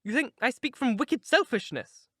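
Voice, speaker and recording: A proud young married woman lectures with cutting scorn, as a male reader puts her on. Her words come emphatic and animated, set a little above the reader's own tone. The recording is dry. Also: very high-pitched, normal speed, no room sound, voice pushed up, full character voice. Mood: cold.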